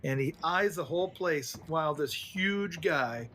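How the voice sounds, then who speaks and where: A man talks casually through a tabletop roleplaying game as a player. His voice sits a little higher than most men's. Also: expressive, measured pace, a bit bright.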